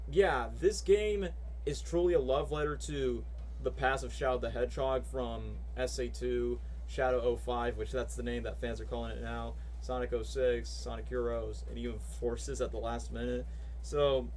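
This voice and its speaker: A man's voice: mid-range voice, a little mellow. A young man casually talking through a livestream as a fan reacting to game cutscenes, rambling with lots of fillers and enthusiasm.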